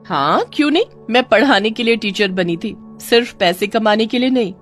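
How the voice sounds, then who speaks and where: A woman's voice: a little high, lively intonation, moderate pace, faint music behind. A woman voicing a kind, determined schoolteacher in a children's moral story. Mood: encouraging.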